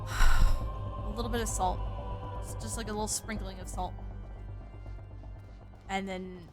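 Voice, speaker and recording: A woman's voice: medium pitch, takes it slowly, pauses often, loud music behind the voice. A woman from the American South with a light Southern accent, chatting casually and cursing freely while streaming a game. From Southern United States. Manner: chatty, profane, animated. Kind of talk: casual gaming stream chat.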